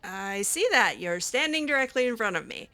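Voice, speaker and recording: Wry, bright-voiced woman gamer. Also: on the high side, big pitch swings, normal speed. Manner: hesitant, wry, lots of ums. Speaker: roleplay gamer. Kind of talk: casual in-character roleplay chat.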